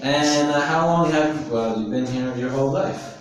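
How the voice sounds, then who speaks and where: Relaxed young man, far and echoey. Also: a little high, normal speed. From USA. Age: 20s. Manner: relaxed, easygoing, with small fillers. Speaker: teacher. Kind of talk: casual practice conversation.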